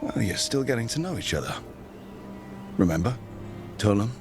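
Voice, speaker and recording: Low-voiced, curious adventurer. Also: male voice, very animated, normal speed, over music. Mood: encouraging. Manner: inquisitive, conversational. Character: curious, encouraging adult male adventurer companion. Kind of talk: video game dialogue acting.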